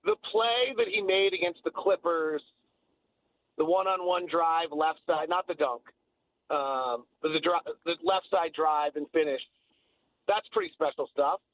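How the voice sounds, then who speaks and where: A man's voice: fairly high pitch, unhurried, narrow, tinny audio. A man giving emphatic, opinionated basketball analysis in a sports radio conversation, repeating phrases to drive points home. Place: radio talk show.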